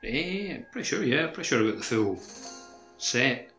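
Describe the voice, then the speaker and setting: A man's voice: medium pitch, expressive, moderate pace, fairly bright tone, soft background music. A man co-hosting a podcast, chatting casually and a little tentatively. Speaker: podcast co-host. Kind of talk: casual chat.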